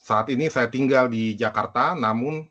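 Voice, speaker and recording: A man's voice: medium pitch, moderate pace, fairly bright tone, highs cut off. A man from Palembang giving a proud, formal self-introduction for a class presentation. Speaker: student presenter.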